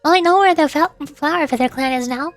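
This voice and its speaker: A playful, expressive woman, commentating on a video game livestream as a vtuber. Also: high-pitched, a little fast. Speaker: vtuber streamer. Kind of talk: game livestream commentary, reading game text aloud. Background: game music.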